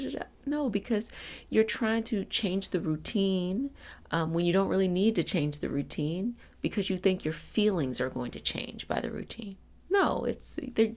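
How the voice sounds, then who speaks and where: A woman's voice: fairly low pitch, creaky, a little mellow. A woman giving an ASMR self-help talk in a soothing, reassuring, conversational coaching manner. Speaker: ASMR host and energy healing practitioner.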